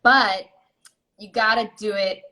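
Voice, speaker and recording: A woman's voice: medium pitch, fairly even intonation, takes it slowly, bright, forceful tone, low-quality recording. A woman guest in a casual interview, talking enthusiastically and casually about beauty tips. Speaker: guest, beauty ebook author.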